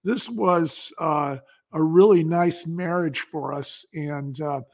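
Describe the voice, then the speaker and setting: A man's voice: a little high, takes it slowly, vocal fry. An American man talking as an interview guest, telling stories conversationally and emphatically, with rhetorical questions. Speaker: interview guest, company founder.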